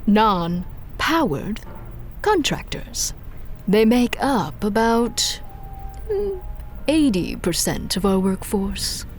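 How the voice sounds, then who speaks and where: A woman's voice: mid-range voice, very animated, slow pace, pauses often, soft background music. A woman playing a businesslike yet teasing recruiter of henchmen in an audio drama, with breezy corporate polish.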